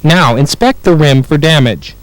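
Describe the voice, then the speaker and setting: A man with an American accent reading scripted instructional narration for a training film in a clear, measured way. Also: on the high side, expressive, no room sound, rough recording.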